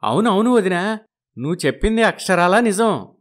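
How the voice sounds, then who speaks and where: A man's voice: high voice, very animated, normal speed. A man playing a genial, food-loving village brother-in-law in a moral story, effusive and full of exclamations. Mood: delighted.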